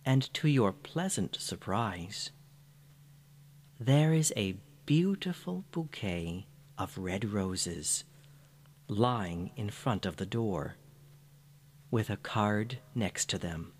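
A man narrating a scripted guided meditation in a soothing, gentle manner. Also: on the low side, lively intonation, a little hiss or hum. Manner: soothing, unhurried, gentle phrasing.

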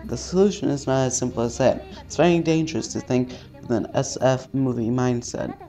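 A man playing a visual novel, reading its text aloud haltingly with casual, sweary commentary. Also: medium pitch, warm tone. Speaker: let's-player. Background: faint game music.

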